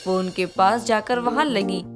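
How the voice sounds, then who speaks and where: A woman's voice: medium pitch, a little slow. A woman reading scripted moral-story narration as the storyteller. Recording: music behind the voice.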